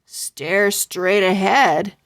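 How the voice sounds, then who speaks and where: A woman's voice: medium pitch, very slow. A woman voicing an eager, earnest young boy in a children's storybook.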